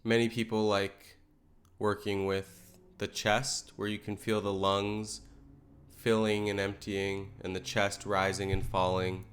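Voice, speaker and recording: A man leading a guided meditation in a calm, gentle, unhurried way, letting his phrases settle softly. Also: lowish voice. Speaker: meditation teacher.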